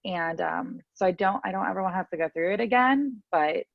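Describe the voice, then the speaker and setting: A woman talks in a candid, chatty way, full of fillers. Her voice has a gravelly, fry-laden edge. The sound is dry and a bit muffled, with no room behind it. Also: mid-range voice, animated, fairly quick, dry recording. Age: 30s. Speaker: podcast guest. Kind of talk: casual interview storytelling. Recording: dry, slightly muffled audio.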